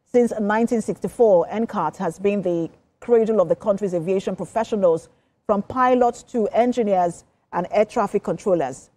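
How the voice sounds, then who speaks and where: A woman's voice: mid-range voice, moderate pace, warm tone. A woman with a Nigerian accent presenting a scripted news introduction as an anchor.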